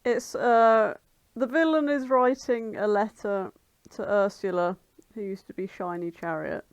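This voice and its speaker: A woman's voice: medium pitch, a little slow, clean tone. A British woman co-hosting a podcast, chatting casually and informally about fan fiction, with some swearing. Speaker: podcast co-host.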